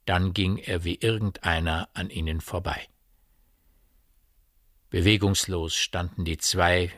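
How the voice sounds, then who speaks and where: A man narrating a radio play as a measured, literary storyteller. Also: low voice, fairly bright tone, no room sound, clean studio sound.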